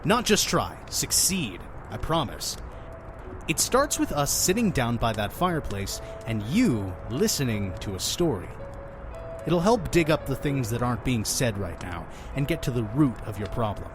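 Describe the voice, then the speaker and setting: Man playing a theatrical innkeeper, very animated pitch. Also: moderate pace, music in the background, natural voice. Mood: earnest, mysterious. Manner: effusive, talking over his guest. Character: chatty, theatrical, pushy male innkeeper of a mysterious inn. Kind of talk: audio drama acting.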